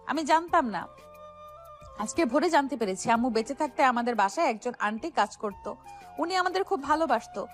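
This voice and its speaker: A woman acting a wronged young woman in an audio story, stern yet deeply hurt, speaking with emphatic feeling. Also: lively intonation, fast talker. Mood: reflective. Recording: music behind the voice.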